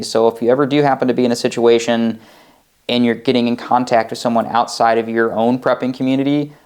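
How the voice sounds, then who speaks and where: A man's voice: mid-range voice, a little mellow, clear, resonant tone. An American man giving an informal instructional talk as a presenter, explaining methodically with examples. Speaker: presenter of prepping advice videos.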